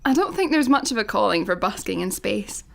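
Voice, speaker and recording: A woman's voice: mid-range voice, very animated, fairly quick, vocal fry, fairly bright tone. A woman with a Scottish accent playing a blunt, guarded young adventurer with dry sarcasm in a sci-fi comedy radio play. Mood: dry, breezy. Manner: casual, dry.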